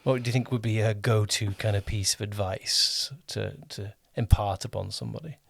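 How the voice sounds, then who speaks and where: A man's voice: on the low side, fairly even intonation, normal speed, gravelly, dry recording, clean studio sound. A man hosting a casual interview, rephrasing his questions conversationally as he goes. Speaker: podcast host and producer.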